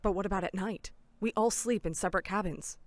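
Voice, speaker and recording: A woman's voice: mid-range voice, a little fast, no echo, close to an everyday voice. A woman acting as an earnest, anxious and indignant young camp counselor in an audio drama.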